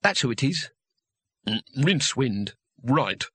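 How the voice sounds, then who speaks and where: A man's voice: medium pitch, big pitch swings, slow, a bit bright, husky, no echo, heavily acted. A man voicing a nervous, put-upon, cowardly male wizard with a sarcastic streak in an audiobook. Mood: frightened, squeaky. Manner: nervous, hesitant, defensive. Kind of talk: audiobook character voice.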